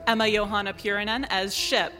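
A woman's voice: medium pitch, little pitch movement, fairly quick, over loud music. A woman reading scripted closing credits as a performer at a live show. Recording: echoey live hall, reverberant.

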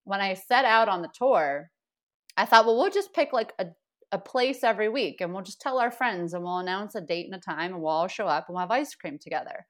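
A woman's voice: mid-range voice, animated, a little fast. A woman in her thirties chatting casually on a local podcast, talking freely and laughing easily. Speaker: podcast guest. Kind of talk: casual chat.

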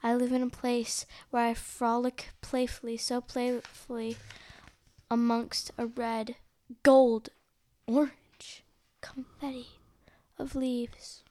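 Very slow-reading, higher-voiced woman. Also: little pitch movement, darkish tone. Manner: halting, repeats and stumbles over words. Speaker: host of a read-along. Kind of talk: reading a picture book aloud.

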